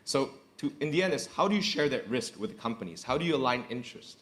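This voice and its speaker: A man's voice: mid-range voice, animated, quick pace, a bit bright. A man, speaking earnestly as a corporate executive on a panel and repeating phrases for emphasis. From Indonesia. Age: 30s. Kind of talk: panel discussion.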